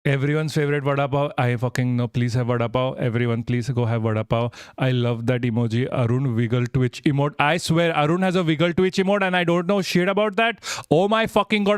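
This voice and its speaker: A man's voice: on the high side, clear, resonant tone, no echo, studio quality. A man live streaming, chatting casually and reading his chat, rambling and swearing freely as he jokes. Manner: rambling, profane, jokey, reads chat aloud.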